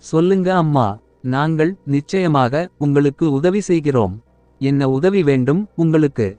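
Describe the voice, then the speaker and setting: An eager cartoon mouse, played by a man, offers help in a mellow, slightly high voice. It sounds close and dry, with faint music behind. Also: a little slow, dry recording, lightly acted. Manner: eager, obliging. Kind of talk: cartoon voice acting.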